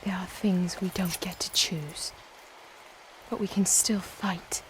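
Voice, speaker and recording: A woman's voice: fairly low pitch, fairly even intonation, slow, a little hiss or hum, low-quality recording. A woman playing a brave, determined young warrior in a fantasy video game, earnest and resolute. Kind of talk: video game character acting.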